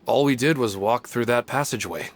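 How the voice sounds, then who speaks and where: A man's voice: medium pitch, fairly quick, close to an everyday voice. A man voicing an easygoing, puzzled guy. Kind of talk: game cutscene voice acting.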